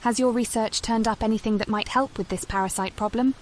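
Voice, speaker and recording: A woman's voice: on the high side, fairly even intonation, a little fast, darkish tone, a little hiss or hum, lightly acted. A woman playing a composed, forthright elven sorcerer in a video game, speaking directly. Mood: calm, direct. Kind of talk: video game character acting.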